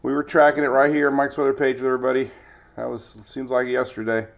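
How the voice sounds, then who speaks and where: A man's voice: medium pitch, level tone, quick pace, creaky. A man hosting a casual live weather stream, chatty, rambling and jokey, sounding slightly stuffed up with a cold as he greets viewers.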